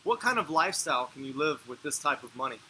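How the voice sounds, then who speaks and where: A man's voice: fairly high pitch, expressive, fast talker, bright, forceful tone, slight background noise, low-quality recording. A man hosting an interview, asking short questions and giving brief acknowledgements. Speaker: interviewer, channel host.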